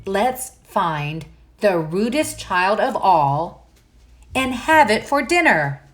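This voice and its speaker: A woman voicing a fastidious, scheming male goblin in a children's storybook, with disdainful, drawn-out emphasis. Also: mid-range voice, very animated, faint music behind.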